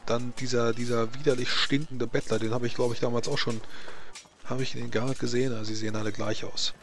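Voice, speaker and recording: A man improvising in-character fantasy roleplay talk, halting as he searches for words. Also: mid-range voice, little pitch movement, a crowd in the background.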